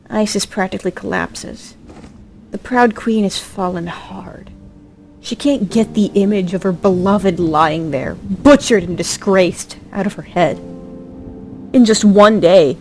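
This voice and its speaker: A woman's voice: mid-range voice, unhurried. A woman delivering scripted story narration in an emotive, theatrical way. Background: music.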